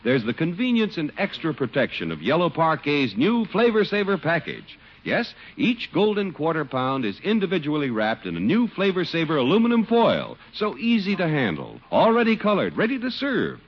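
A man announcing a 1950s radio show and reading its sponsor pitch in the period announcing style. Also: huge pitch swings, moderate pace, hardly any pauses, husky. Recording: muffled old radio broadcast recording.

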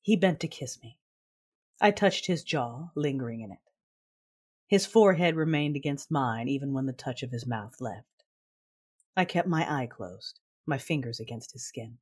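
An actress playing a proud, passionate but guarded woman warrior, narrating an audio drama in the first person in a breathy, intimate, measured way. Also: a bass voice, animated, a little mellow, full character voice. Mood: wistful. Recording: music under the voice. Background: music.

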